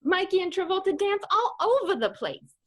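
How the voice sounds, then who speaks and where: A woman's voice: extremely high pitch, very animated, normal speed. A woman comedian narrating a comedic radio play.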